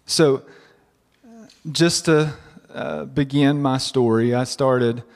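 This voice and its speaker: Man in his thirties, husky from a cold, halting testimony, mid pitch, long church-hall reverb. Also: fairly even intonation, a little mellow. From southern West Virginia. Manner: halting, many restarts and fillers. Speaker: doctor giving a church testimony. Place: church sanctuary.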